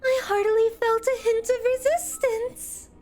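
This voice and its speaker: A woman's voice: extremely high pitch, steady tone, unhurried, darkish tone. A woman playing a doting, sinister, genteel female captor in a theatrical ASMR roleplay, with an ornate, tender, possessive way of speaking. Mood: pleased, coaxing. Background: background music.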